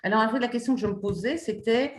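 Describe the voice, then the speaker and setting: A woman's voice: on the high side, fast talker. A woman moderating an interview, asking questions and affirming answers with short interjections. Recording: echoey room.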